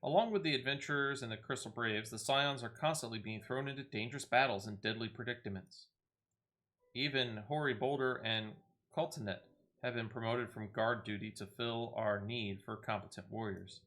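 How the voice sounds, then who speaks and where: A man's voice: mid-range voice, normal speed, sharp tone, natural voice. A man voicing an earnest, polite small female receptionist from a fantasy video game, speaking formally and humbly. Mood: earnest, resolute. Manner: formal, deferential fantasy phrasing.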